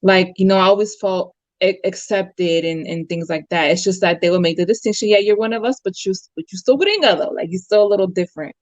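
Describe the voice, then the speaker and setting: A woman's voice: medium pitch, fast talker, hardly any pauses, darkish tone, dry recording, highs cut off. A young adult woman from the U.S. talking casually as a guest on a video call, thoughtful and full of fillers. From United States. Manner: reflective, many fillers and restarts. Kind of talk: casual conversation.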